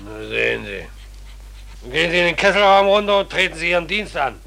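A man playing a gruff, impatient captain of a shady ship, curt and officious, in a 1940s radio play. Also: a little high, animated, moderate pace, pushed and bright, heavily acted. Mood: dismissive.

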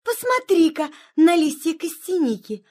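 Storybook fox, high voice, slow sing-song delivery, gentle, for a children's forest play. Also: female voice, sing-song, exaggerated voice. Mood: gentle, reassuring. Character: small fox family member in a children's forest tale. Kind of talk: children's audio play acting.

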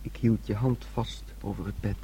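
A man's voice: on the low side, steady tone, normal speed, dark tone, a little hiss or hum, natural voice. An actor playing a childlike, dreamy young man in a radio play, with a naive, wistful storytelling manner. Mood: wistful, intimate. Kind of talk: Dutch radio play acting.

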